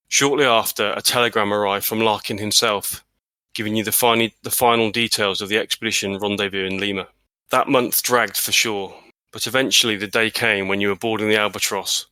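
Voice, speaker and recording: A man narrating as game master, telling the story in a measured way to the players and then guiding them through the table. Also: on the low side, bright, forceful tone, dry recording, poor audio. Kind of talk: scripted period narration, then casual table talk.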